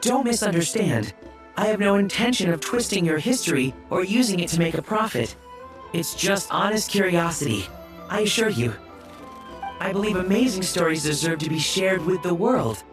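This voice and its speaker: A man's voice: high-pitched, animated, moderate pace, soft background music. A man playing a polite, earnest young researcher from abroad in a video game, courteous and eager.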